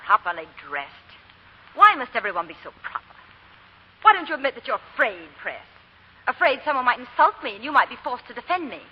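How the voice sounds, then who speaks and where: An actress playing a proud, headstrong young belle in a theatrical, impassioned 1940s radio drama style. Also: female voice, on the high side, big pitch swings, fairly quick, husky. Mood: taunting. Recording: muffled 1940 radio broadcast, music behind.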